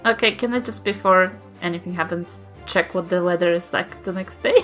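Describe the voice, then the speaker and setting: A woman's voice: on the low side, moderate pace, soft background music. A woman with a New Zealand accent, chatting casually and playfully as a player in a tabletop game. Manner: playful, giggly. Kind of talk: casual chat.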